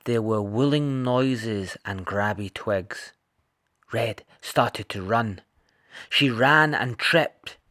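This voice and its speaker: A man narrating a children's picture book in an expressive read-aloud style. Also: medium pitch, slow. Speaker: storybook reader.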